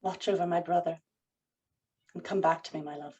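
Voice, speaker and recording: A woman's voice: on the low side, fairly even intonation, a little fast, dark tone, slightly rough, dull audio. A woman playing a composed, controlling wife in a period drama table read, speaking in a poised, formal way. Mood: commanding, tender.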